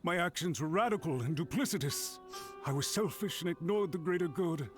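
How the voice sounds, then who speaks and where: A highly strung servant of a noble house played by a man, formal and emphatic in a video game. His voice sits fairly high and moves dramatically, with music playing underneath. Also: animated, a little fast, close to an everyday voice. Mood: apologetic, pleading. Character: highly strung, suspicious retainer of a noble lady. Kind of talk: English video game voice acting.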